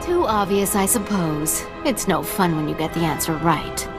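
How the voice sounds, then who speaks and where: A woman's voice: on the low side, expressive, moderate pace, music in the background. A woman voicing a playful, lonely ancient female spirit who teases a visitor into a game of questions in a video game. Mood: teasing. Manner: whimsical, teasing, playful.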